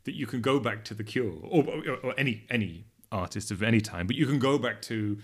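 A man hosting a podcast conversationally, with halting phrasing as he gathers his thoughts. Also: mid-range voice, husky. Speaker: podcast host, editor.

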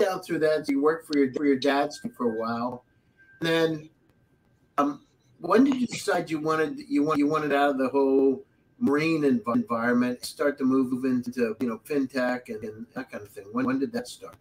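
A man hosts an interview over a video call, his voice sitting at an ordinary middle pitch. He stumbles and repeats words as he asks questions, with faint noise underneath. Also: normal speed, poor audio. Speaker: podcast host. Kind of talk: casual interview hosting.

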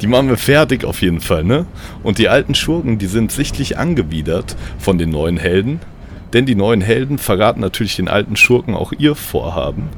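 Male host, playful mock-dramatic narration, low gravelly voice, faint background noise. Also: big pitch swings, fairly quick. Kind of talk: improvised storytelling narration.

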